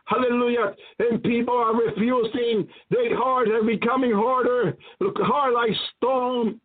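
Male preacher, very high pitch, fervent repetitive exhortation, tinny phone-like radio audio. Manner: emphatic, repetitive, exclaiming preacher's delivery. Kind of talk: fervent apocalyptic sermon. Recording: thin phone-like radio sound.

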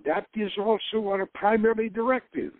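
Man voicing a calculating director, high and measured. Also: fairly even intonation, dry recording, narrow, tinny audio, natural voice. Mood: formal. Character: calculating, authoritative male intelligence director. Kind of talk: dramatic novel reading.

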